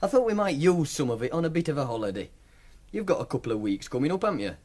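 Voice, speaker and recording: An actor playing a defiant, self-assured young student gambler with a Northern English accent in a naturalistic radio drama. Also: male voice, fairly high pitch, very animated, fast talker, a little mellow. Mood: casual, coaxing. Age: young adult.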